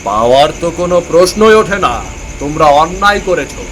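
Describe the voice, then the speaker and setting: A man's voice: fairly high pitch, lively intonation, a little fast, a bit bright, a little hiss or hum. A man voicing a stern, authoritative village headman who hands down judgments in a cartoon. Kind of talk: cartoon voice acting. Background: background music.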